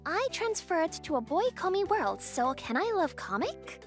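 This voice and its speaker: A woman's voice: very high-pitched, sing-song, unhurried, soft background music, exaggerated voice. A woman voicing a polite, earnest young college student in a romantic comedy manga dub.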